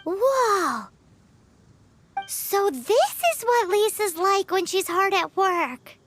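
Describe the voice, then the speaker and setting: A woman voicing a tiny, excitable and naive childlike fairy companion in a video game, with a whiny manner. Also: very high-pitched, slow, a little hiss or hum, full character voice. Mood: awed, reluctant.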